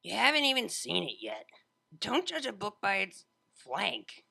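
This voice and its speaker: A man voicing a brash, laid-back young female unicorn DJ with slangy, excitable delivery in a story reading. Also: sits high, a little slow, vocal fry, breathy edge, voice pushed up, heavily acted. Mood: teasing. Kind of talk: fan fiction audiobook character voice.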